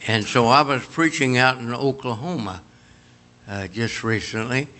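A man's voice: mid-range voice, measured pace. A man in his late eighties from Kentucky with a Kentucky accent, preaching a homespun sermon full of personal stories. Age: 80s. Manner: homespun, storytelling, lively and chatty.

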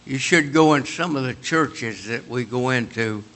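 A man's voice: mid-range voice, unhurried, a little hiss or hum. A man in his late eighties from Kentucky with a Kentucky accent, preaching a homespun sermon full of personal stories. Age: 80s. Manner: homespun, storytelling, lively and chatty.

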